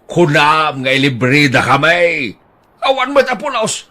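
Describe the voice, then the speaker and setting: A man's voice: on the high side, big pitch swings, measured pace, bright, forceful tone, heavily acted. An actor playing a teasing older husband who gambles, bantering with his wife in an audio drama.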